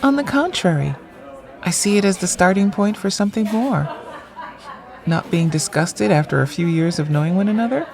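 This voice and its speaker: A woman's voice: medium pitch, animated, normal speed, vocal fry, a little mellow, some chatter behind. An actress playing a witty, frank woman on a friendly date in an audio drama, with wry, clipped quips. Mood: earnest, wry.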